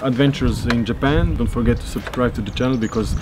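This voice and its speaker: A man in his thirties speaks non-native English at an average pitch. He chats casually to camera, repeating words as he searches for phrasing. City ambience and birds are clearly audible around him outdoors. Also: brisk. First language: not English. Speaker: vlogger and handball player. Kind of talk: casual vlog chat. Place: outdoor rooftop terrace. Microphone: on-camera shotgun mic at short distance. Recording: outdoor urban noise, birds, traffic.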